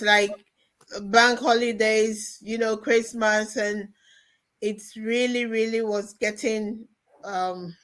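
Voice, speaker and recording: A woman speaking in a measured, hesitant way in a live-stream group chat. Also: fairly high pitch. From Ghana. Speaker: panel guest.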